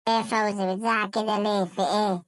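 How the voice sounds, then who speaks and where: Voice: mid-range voice, level tone, fast, no echo, close to an everyday voice. A performer voicing a casual, defensive cartoon housewife with an Egyptian accent, answering back nonchalantly in a comedy sketch. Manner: nonchalant, back-talking, placating.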